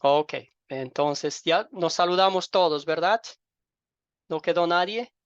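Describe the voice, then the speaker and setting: Over a muffled, dry online call, a middle-aged man with an Ecuadorian accent speaks as a pastor to his class. His delivery is informal and halting, with repeated words and affectionate diminutives. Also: on the high side, clean tone, no echo. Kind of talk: informal welcome and self-introduction to a class. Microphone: online group call microphone. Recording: dull lo-fi online call audio.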